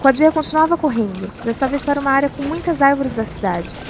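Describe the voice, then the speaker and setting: A woman narrating a scripted audio drama as a storyteller, with measured, deliberate phrasing. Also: high voice, animated, background noise. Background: music.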